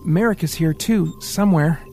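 A man's voice: on the high side, moderate pace, a little mellow, soft background music, pitched above the performer's own voice, close to an everyday voice. A man voicing an anguished young man. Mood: urgent. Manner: strained, trembling, pleading. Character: troubled young man, anguished, guilt-ridden.

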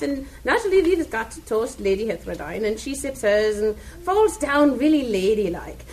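A woman playing a brash, cheerfully protective female monster soldier in comic radio theatre, with rough, slangy, ungrammatical speech. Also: sits high.

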